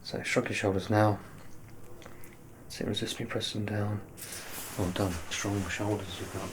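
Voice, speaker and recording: A man in an ASMR medical roleplay, playing a doctor who gives gentle, reassuring, soft instructions. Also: on the low side, little pitch movement, unhurried, slight background noise.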